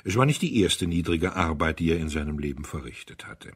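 A man narrating a mystery story from a script in an expressive, dramatic storytelling manner. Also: very deep voice, fairly quick, slightly rough. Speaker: audiobook narrator.

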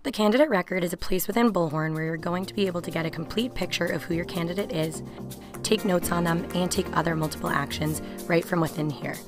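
A young woman narrating a software demo in a conversational, explanatory way. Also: on the low side, quick pace. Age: young adult. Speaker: software product presenter. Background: music.

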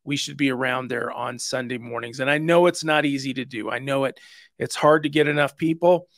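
A man's voice: mid-range voice, a little fast, a bit bright, no echo. A man in his fifties giving an earnest, conversational instructional talk as a host, stressing his points into a close microphone. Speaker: church security instructor and host. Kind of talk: explanatory video lesson, conversational. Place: small home study, indoors. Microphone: close microphone on a boom arm.

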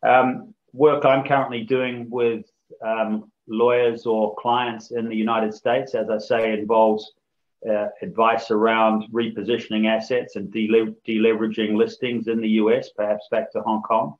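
A man from New Zealand, head of a law firm office, giving a measured, formal business presentation over a video call with frequent ums. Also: fairly low pitch, level tone, lo-fi sound.

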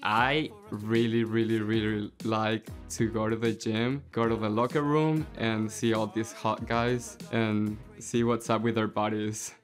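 A man's voice: fairly low pitch, little pitch movement, a little slow, hardly any pauses, soft background music. A man in his early twenties with a Mexican accent, a native Spanish speaker, casually introducing himself on a dating show.

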